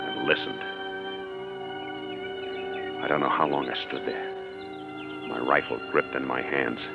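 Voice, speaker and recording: A man's voice: low-pitched, full character voice. An actor playing a frightened, suspicious man who narrates tensely and dramatically in a 1940s radio suspense play. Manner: tense, brooding, dramatic delivery. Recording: dull old radio recording with music.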